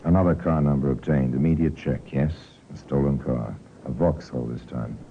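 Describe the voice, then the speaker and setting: An extremely deep male voice narrating crime tales with grave, sardonic deliberation. It is a vintage radio broadcast, narrow and tinny with faint noise behind. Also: normal speed. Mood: wry, grave. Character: grave, wry male storyteller of crime cases. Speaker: narrator and host. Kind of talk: 1950s radio drama narration. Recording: tinny, noisy old radio recording.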